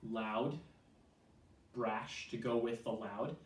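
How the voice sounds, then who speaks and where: A young American man from Southern California, with an accent softened by years in New Zealand, answering interview questions in a measured way with frequent ums. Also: mid-range voice. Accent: American, softened toward New Zealand. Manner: measured, frequent ums, quotes others with animation. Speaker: interviewee, church worker. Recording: echoey room, mic at a distance, faint hiss.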